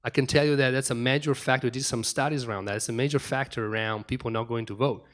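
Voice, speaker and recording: A middle-aged man speaks to a conference audience in a room. He races through his points, often restarting and repeating words as he goes. His pitch sits at an ordinary middle level for a man. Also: fairly even intonation, fast talker, fairly bright tone. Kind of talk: conference talk.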